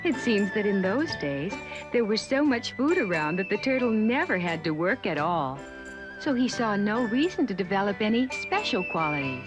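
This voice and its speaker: Animated woman narrating a children's story. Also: mid-range voice, normal speed, over music. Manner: expressive, chatty storytelling with asides to listeners.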